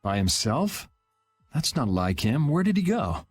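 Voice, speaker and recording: An actor playing a self-assured young adventurer in a video game, with a dry, offhand, decisive delivery. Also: male voice, mid-range voice, very animated, measured pace. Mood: resolute. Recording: music under the voice.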